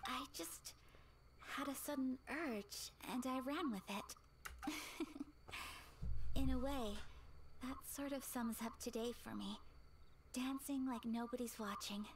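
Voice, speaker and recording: A graceful young noblewoman from a video game, gentle, shy and sincere. Her high, breathy voice moves slowly over clear background noise. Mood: shy, fond. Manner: soft, breathy, polite, unhurried. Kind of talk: video game character acting.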